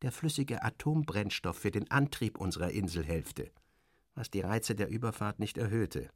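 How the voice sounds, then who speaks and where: A man's voice: fairly low pitch, expressive, normal speed, a little mellow, dry recording, clean studio sound. An actor playing a sardonic, self-assured journalist, narrating his travels in a literary radio drama with wry, theatrical, clipped phrasing. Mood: wry, agitated. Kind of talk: literary first-person narration, dramatic audio reading.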